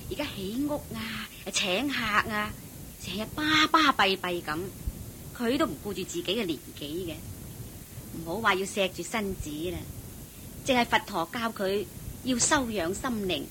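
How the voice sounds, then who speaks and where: A woman's voice: animated, normal speed, pushed and bright. An actress playing an attentive, long-suffering wife and mother in a radio drama, with a Hong Kong Cantonese accent. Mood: exasperated. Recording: noisy old radio drama, low rumble.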